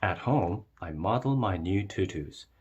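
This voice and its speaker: A man narrating a children's storybook as a read-aloud, with expressive delivery. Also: deep voice, measured pace, dull audio, slight background noise.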